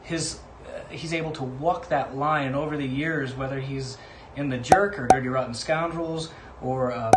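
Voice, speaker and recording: A man giving a casual spoken testimonial as a guest contributor. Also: on the high side. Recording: echoey room with faint noise.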